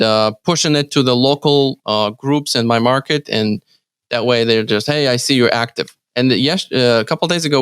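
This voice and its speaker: A man from Ukraine speaking English as his second language with a Ukrainian accent, answering interview questions in a halting way with many restarts and fillers. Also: mid-range voice, a bit bright, clean tone, dry recording, clean studio sound. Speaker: real estate team leader, guest.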